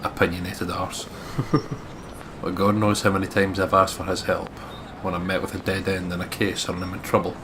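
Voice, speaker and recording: A man's voice: low-pitched, monotone, moderate pace, noisy background. A man reading visual novel narration aloud as a let's play co-reader, breaking into casual asides.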